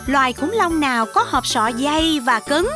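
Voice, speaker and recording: A woman's voice: sits high, animated, moderate pace. A woman narrating a children's cartoon, exclaiming and putting questions to young viewers. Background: children's music.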